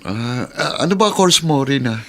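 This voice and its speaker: A man's voice: mid-range voice, animated, moderate pace, natural voice. A man with a Filipino accent playing an envious, grumbling office worker in a radio drama. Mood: polite.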